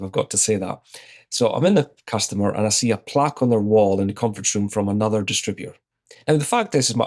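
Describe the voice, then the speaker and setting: A man in his fifties with a Scottish accent, an executive guest relaxedly telling an anecdote in an interview. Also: lowish voice. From Scotland. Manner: relaxed anecdotal, retells his own exchanges. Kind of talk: conversational interview storytelling.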